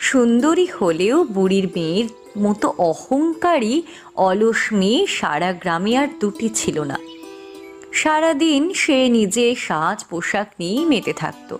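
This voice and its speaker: A woman narrating a scripted fairy tale as the storyteller of a cartoon. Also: mid-range voice.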